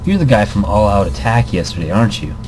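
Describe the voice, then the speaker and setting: A man voicing a curt, aloof rival gamer in a visual novel, flat and terse. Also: on the low side, fast, noisy background. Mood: recognizing.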